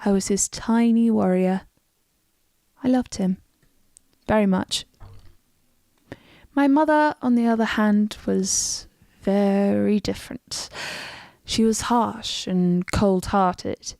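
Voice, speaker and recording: A woman's voice: medium pitch, takes it slowly, creaky, long pauses, a little mellow, no echo, clean studio sound. A woman voicing a young Nord warrior woman in a fantasy game, bloodthirsty yet wistful about her family. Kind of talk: scripted game dialogue.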